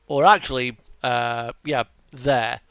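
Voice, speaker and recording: A man giving casual gameplay commentary as host of a truck simulator video, rambling and halting with trailing asides. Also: medium pitch, steady tone, a bit bright, clear, resonant tone, dry recording.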